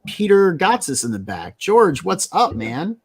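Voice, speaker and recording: A man's voice: high-pitched, big pitch swings, measured pace, husky. A man hosting a casual livestream, chatty and upbeat, reading out chat comments. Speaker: livestream host and comic creator.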